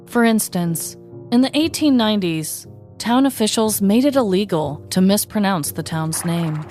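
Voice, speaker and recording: A woman hosting a podcast, reading a comedic true-crime story with wry, deadpan delivery. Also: medium pitch, normal speed, soft background music.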